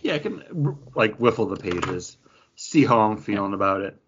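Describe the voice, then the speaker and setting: A man co-hosting a podcast in casual chat, rambling and animated with lots of restarts. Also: fairly low pitch, moderate pace, a little hiss or hum. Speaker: podcast co-host. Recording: dull lo-fi podcast audio.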